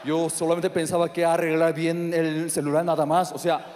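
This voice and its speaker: Korean-accented male stand-up, high voice, non-native halting delivery with shouted bursts, handheld mic in a reverberant theater. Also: monotone, noisy background. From South Korea. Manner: halting non-native Spanish, filler-heavy, sudden shouted outbursts. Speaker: stand-up comedian. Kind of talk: stand-up comedy set. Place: large theater stage. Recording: live hall reverb with audience.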